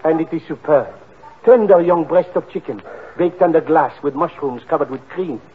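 An actor playing a fawning, excitable French restaurant owner with a put-on French accent in a 1940s radio comedy. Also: male voice, fairly high pitch, moderate pace, husky. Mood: eager, flowery. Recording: tinny, band-limited 1940 radio recording.

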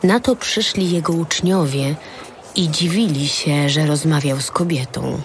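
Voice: mid-range voice, a little slow, slight background noise. A narrator reading scripted narration for a dramatised audio Bible.

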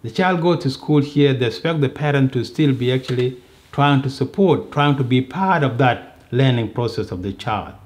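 A middle-aged man giving a measured, explanatory interview, seated indoors and working through examples. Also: mid-range voice. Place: indoor sitting room.

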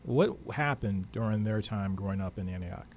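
A man's voice: lowish voice, moderate pace, a little mellow, slight background noise. A middle-aged man co-hosting a show, casually interviewing a guest on a studio microphone. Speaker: podcast and radio co-host. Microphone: close studio microphone with headphones.

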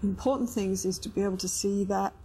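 A woman's voice: mid-range voice, steady tone, a little fast, warm tone, a little hiss or hum. A middle-aged woman talking as a couples therapist, explaining things in a counselling style. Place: indoor studio set with sofas.

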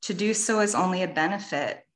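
A woman from Washington State reading a prepared public comment over a video call, measured and earnest, with a big echo on her line. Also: fairly low pitch. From Washington State, USA. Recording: echoey call audio.

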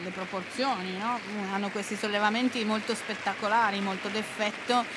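A woman's voice: medium pitch, steady tone, fairly quick, very noisy. A woman giving live figure skating commentary as a coach, thinking aloud and often repeating her words. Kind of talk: live sports commentary, unscripted analysis. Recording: music playing loudly behind the voice. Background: loud arena music.

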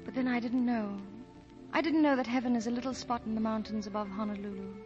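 Actress playing a dreamy young woman in love, high voice. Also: steady tone, quick pace, darkish tone, close to an everyday voice. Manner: dreamy, romantic. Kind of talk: 1940s radio drama acting. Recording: muffled old 1940 radio recording, music behind.

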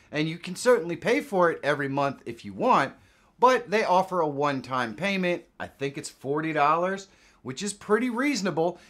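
Animated American man presenting a tutorial. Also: on the high side. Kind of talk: casual software tutorial. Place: small indoor home studio.

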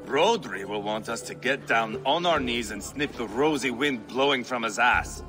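An actor playing a brutal, crude Viking warlord who taunts and sneers. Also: male voice, medium pitch, expressive, normal speed, pushed and bright, long reverb tail, soft background music. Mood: sneering. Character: brutal scarred male Viking warlord, mocking.

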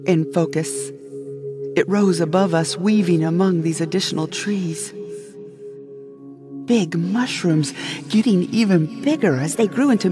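A narrator telling a first-person sci-fi comedy story in a florid, dramatic, scripted storytelling style. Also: mid-range voice. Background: music behind the voice.

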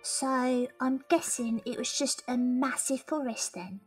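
A woman acting as a young Victorian London woman, playful and curious, in an audio drama. Her voice is fairly high, her talk informal and affectionately cheeky, with music behind it. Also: a little slow. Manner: informal, teasing, colloquial.

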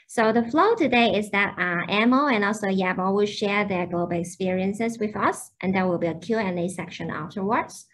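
A woman's voice: mid-range voice, normal speed, few pauses. A young woman hosting a university admissions webinar over a video call, explaining programmes with frequent fillers.

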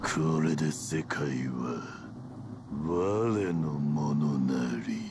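An actor playing a menacing, arrogant demon overlord in a video game, speaking slowly and grandly. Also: male voice, extremely low pitch, lively intonation, a little mellow, slight background noise, exaggerated voice. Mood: gloating menace. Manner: slow, grandiose.

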